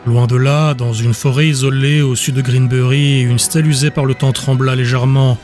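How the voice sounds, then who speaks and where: A man's voice: mid-range voice, fairly even intonation, moderate pace, a little mellow, soft background music. A man reading scripted dramatic narration as the narrator of an audiobook.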